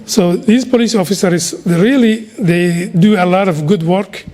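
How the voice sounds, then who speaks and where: A man's voice: high voice, normal speed, a little mellow. A middle-aged man from Somalia with a Somali accent, giving an earnest speech to an audience. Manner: earnest and exhorting, with repetitions. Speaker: community speaker.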